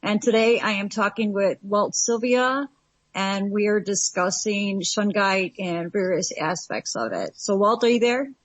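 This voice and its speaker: A woman hosting a radio talk show at a medium pitch. She reads station breaks in a friendly, steady way. The sound is dry and dull, as if it came down a lo-fi line. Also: a little slow, no echo.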